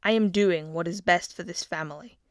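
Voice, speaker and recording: A woman's voice: on the low side, a little fast, no room sound, clean studio sound, lightly acted. A woman reading the part of a stern, cruel middle-aged king who threatens and hisses his words. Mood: cold. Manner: cold, threatening, hissing.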